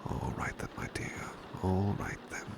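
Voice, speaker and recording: A man playing a devoted, gentle British butler in an ASMR roleplay, speaking softly and breathily, often in a whisper, with tender deference. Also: moderate pace, lightly acted. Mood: soothing, affectionate. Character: devoted, gentle male butler soothing a sleepy master. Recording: clear background ambience noise, rain. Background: rain ambience.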